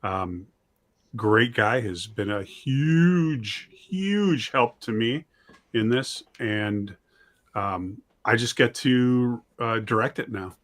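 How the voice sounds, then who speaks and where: A man's voice: medium pitch, lively intonation, slow pace. A man talking casually as an interview guest, plain-spoken and self-deprecating, emphatic about what he believes. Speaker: guest, audio drama writer and director.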